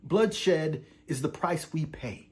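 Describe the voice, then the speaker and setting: A male actor playing a weary, defiant imprisoned revolutionary in a theatrical monologue, reading a letter to his parents with deliberate, oratorical delivery. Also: a little high, animated. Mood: grim. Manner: deliberate oratorical delivery swinging from hushed to impassioned. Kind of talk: dramatic theatrical monologue, letter read aloud.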